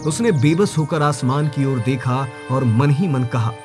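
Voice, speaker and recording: A man's voice: mid-range voice, expressive, a little slow, music in the background. A man reading a scripted moral story as its narrator, in a dramatic storytelling style.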